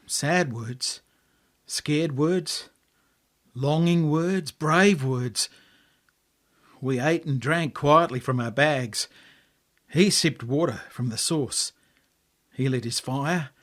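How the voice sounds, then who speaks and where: An Australian man reading a story as dramatic narration, in measured, poetic phrases with deliberate pauses. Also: fairly high pitch. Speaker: author reading own story.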